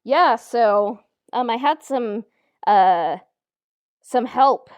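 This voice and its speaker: A woman co-hosting a podcast, chatting casually in a halting, informal way. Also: a little high, lively intonation, clean tone, dry recording. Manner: halting, informal, frequent "like" and "you know". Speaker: podcast co-host and audio drama writer. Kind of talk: casual conversational chat.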